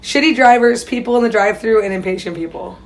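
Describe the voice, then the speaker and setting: A young woman co-hosting a casual group chat, throwing in quick, dry retorts. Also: fairly high pitch. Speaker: co-host.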